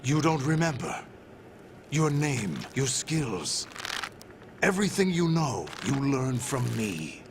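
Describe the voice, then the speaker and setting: Gravelly, commanding villain father figure. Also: mid-range voice, very animated, background noise. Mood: imperious nostalgia. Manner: slow, deliberate, imperious. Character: cold commanding villain, adoptive father figure and former warlord. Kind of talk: dramatic video game cutscene acting.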